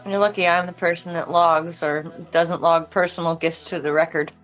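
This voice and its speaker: Low-voiced, dry-witted policewoman, played by a woman. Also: little pitch movement, normal speed, fairly bright tone, soft background music, lightly acted, rough recording. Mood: businesslike, casual. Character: guarded, dry-witted female police officer. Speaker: actor at a table read. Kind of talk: film script table read.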